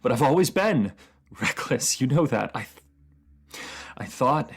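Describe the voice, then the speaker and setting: A voice actor playing a bitter, wounded fantasy ruler in an emotional dramatic monologue, halting and speaking directly to one listener. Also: medium pitch, expressive, husky. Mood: wounded, defensive.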